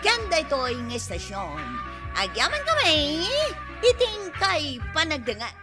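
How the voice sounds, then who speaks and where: Animated high-pitched woman announcer over music. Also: measured pace. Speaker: programme announcer reading credits. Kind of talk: radio drama credits announcement. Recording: loud music under the voice.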